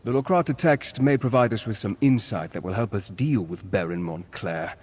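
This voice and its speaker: An actor playing a learned, cautious scholar of ancient ruins in a fantasy game, speaking in a measured, formal, earnest way. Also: male voice, lowish voice, husky. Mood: scholarly, intent. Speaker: quest-giving game character. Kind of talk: scripted fantasy game dialogue.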